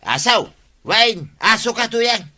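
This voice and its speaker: A man's voice: extremely high pitch, lively intonation, measured pace, pushed and bright, exaggerated voice. A man playing a mischievous, tipsy village man who rambles and mocks people in a comedy radio drama. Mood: tipsy mocking. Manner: mocking, rambling, name-calling.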